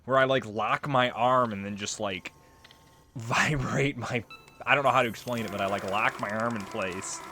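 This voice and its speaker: A man's voice: medium pitch, normal speed, bright, forceful tone, a little hiss or hum. A man giving casual let's play commentary, explaining game lore in a conversational, rambling way. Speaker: let's player. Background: game music and audio.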